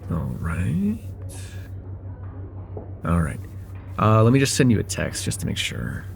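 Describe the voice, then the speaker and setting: A man playing a friendly young college guy, lively pitch swings. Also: slow pace, gravelly, a little hiss or hum, full character voice. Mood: bashful, amused. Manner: casual, hesitant, teasing, with soft chuckles and hums. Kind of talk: slice-of-life ASMR roleplay, one-sided conversation with the listener.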